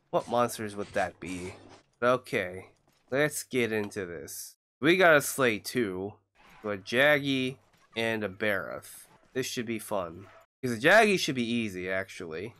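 A young man hosts his own gaming video from a desk mic at home, chatty and reactive as he plays. His voice sounds stuffed up from a cold, yet it stays smooth and clean. Light music sits faintly behind him. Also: lowish voice. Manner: chatty, reacting loudly to in-game hits. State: congested from a cold. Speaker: gaming channel host. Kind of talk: casual gameplay commentary.

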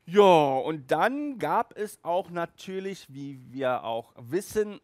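A middle-aged man talks to camera as the host of a collector show. His voice sits a little high for a man. The delivery is casual, colloquial and keen, and the sound is dry, with very little room in it. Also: very animated, takes it slowly, darkish tone, no room sound. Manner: colloquial, enthusiastic fan talk. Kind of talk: casual monologue to camera about nostalgic collectibles. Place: small room with shelves, indoors. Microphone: headset.

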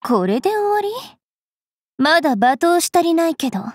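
A woman playing a cruel, wilful young female fairy knight in an anime game, with a haughty, taunting, rough-mouthed delivery. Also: extremely high pitch, big pitch swings, normal speed, no room sound, studio quality. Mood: smug. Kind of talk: anime mobile game voice lines.

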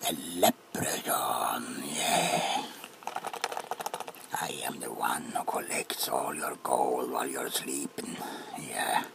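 A man voicing a greedy, mischievous male leprechaun in a put-on Irish accent, speaking low and often in a whisper in a comic ASMR roleplay. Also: takes it slowly, creaky, noisy background, heavily acted. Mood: sly. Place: dark room, close to camera.

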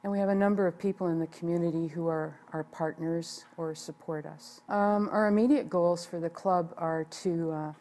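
A woman in her sixties, a ski club president, talking in a measured way with frequent fillers in a seated interview indoors. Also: lowish voice, fairly even intonation, mellow. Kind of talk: on-camera interview. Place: indoor room by a wood stove.

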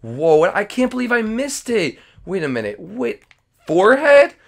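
A woman's voice: medium pitch, sing-song, a little slow, vocal fry, heavily acted. A woman playing a casual, easily startled young hero in a cartoon roleplay, chatty and easily amazed.

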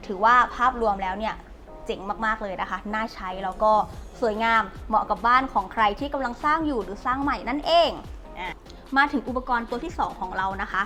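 This is a young woman reviewing a gadget, her voice sitting a little on the high side. She talks in a polite, explanatory way and goes through each item step by step. Faint music plays quietly behind her. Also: brisk. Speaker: product reviewer. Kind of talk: unboxing product review. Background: faint background music.

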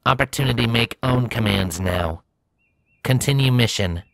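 A man's voice: medium pitch, normal speed, vocal fry, no room sound, natural voice. A man voicing an earnest robot space rover that speaks in clipped, broken, machine-like phrases. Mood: matter-of-fact.

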